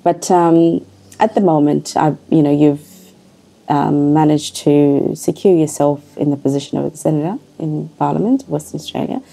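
Host: woman, deep mellow voice, studio talk show interviewer, affirming, faint music under. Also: fairly even intonation, normal speed, creaky, low-quality recording. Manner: warm formal welcomes, frequent affirming interjections. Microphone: table microphone.

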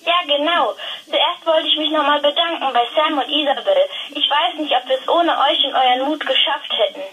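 Young girl caller acted by a female, high and clear-edged, friendly, thankful, telephone effect, audio drama. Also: normal speed, pushed and bright, poor audio. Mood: grateful, friendly. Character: young freed captive girl, grateful and friendly. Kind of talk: audio drama acting as phone interview.

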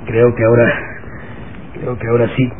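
A man's voice: medium pitch, monotone, normal speed, natural voice. An actor playing a frightened, agitated male overseer in a theatrical old radio drama. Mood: shaken, spent. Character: frightened, cowardly slave overseer, male henchman. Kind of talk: vintage radio drama acting. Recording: tinny, noisy old radio recording.

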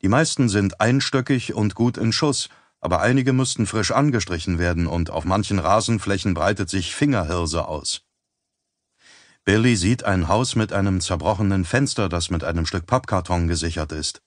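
A man reading an audiobook as narrator in a measured, even storytelling manner on a close studio mic. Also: deep voice, dry recording. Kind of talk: scripted narration.

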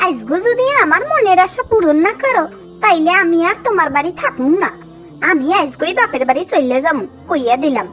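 Demanding cartoon fisherman's wife, actress: very high pitch, rural Bangladeshi accent, insistent scolding. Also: expressive, fairly quick, a bit bright, faint music behind. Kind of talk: cartoon voice acting.

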